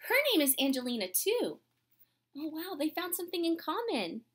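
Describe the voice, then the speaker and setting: A woman's voice: sits high, normal speed. A woman in her thirties reading a picture book aloud to children expressively, with dramatic emphasis and chatty asides. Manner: animated, dramatic emphasis, asking the young listeners questions. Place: small room indoors at home.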